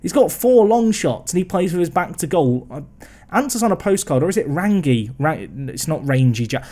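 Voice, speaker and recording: A man's voice: a little high, big pitch swings, moderate pace, warm tone. A young man casually commenting on a football management game, chatty and self-mocking. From England. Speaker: gaming video host.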